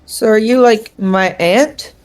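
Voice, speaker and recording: Slow, hesitant woman gamer. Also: fairly high pitch, darkish tone. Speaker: tabletop game player. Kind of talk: casual, unscripted in-game chat.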